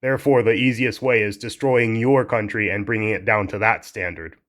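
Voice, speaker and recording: A man's voice: lowish voice, fairly even intonation, normal speed, a bit bright. Blunt young man ranting casually. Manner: heated, blunt, swears freely, restarts sentences. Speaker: commentator. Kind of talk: casual opinion rant.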